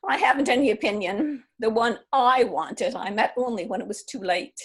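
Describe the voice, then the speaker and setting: A woman's voice: fairly high pitch, normal speed, husky. A woman playing a wistful, formal divorcée in an amateur stage reading. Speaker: reader in a play reading. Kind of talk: amateur readers' theatre acting.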